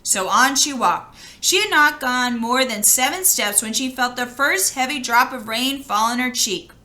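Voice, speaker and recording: A woman reading a story aloud in an expressive, conversational way, as if talking with her listeners. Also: fairly high pitch. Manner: expressive, conversational, pausing to comment. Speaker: storybook reader. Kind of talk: read-aloud storytelling with chatty asides to listeners.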